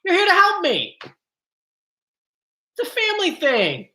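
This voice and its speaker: A man's voice: very high voice, huge pitch swings, unhurried, sharp tone. A man hosting a casual live stream from home, chatty and joking, easily drifting off topic. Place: home, bedroom area. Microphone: computer mic.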